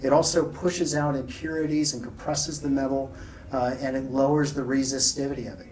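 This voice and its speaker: A man being interviewed at a trade show, explaining technical details in a measured, informal way. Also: medium pitch, flat delivery, darkish tone, far from the mic. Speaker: loudspeaker company founder being interviewed. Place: exhibit room at an audio show. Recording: off-mic, noisy exhibit room.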